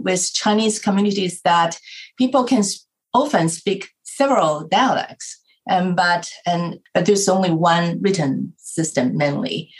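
A woman from Taiwan answers as a panelist in a low voice. She speaks carefully, pausing often with ums as she works through her points on a video call. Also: poor audio. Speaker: researcher and panelist.

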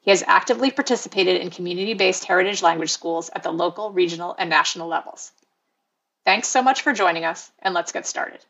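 A woman's voice: on the low side, quick pace, fairly bright tone. Polite woman moderator, muffled audio. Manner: formal, polite, scripted. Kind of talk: reading introductions, asking questions.

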